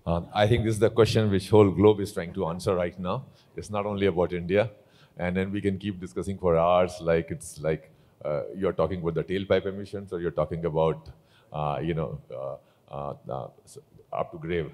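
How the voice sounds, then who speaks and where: A man's voice: sits low, fairly even intonation, a little fast, a little mellow. A middle-aged man answering an audience question on a conference panel, explaining at length with many fillers, into a handheld mic. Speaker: panelist, carmaker executive. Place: large conference hall stage. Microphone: handheld microphone.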